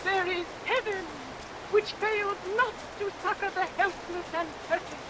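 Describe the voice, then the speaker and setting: High-voiced defiant melodrama heroine. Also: female voice, fairly even intonation, a little slow. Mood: pious, resolute. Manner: melodramatic, declamatory. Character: virtuous, defiant young heroine in peril. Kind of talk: 1940s radio melodrama acting. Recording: hissy vintage radio recording, rain over it.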